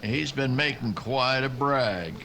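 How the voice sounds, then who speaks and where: An actor playing an easygoing, dryly sardonic Texan cowboy with folksy talk in a western radio drama. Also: male voice, medium pitch, slow pace, creaky, sharp tone, exaggerated voice. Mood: dry amusement. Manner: folksy, dry.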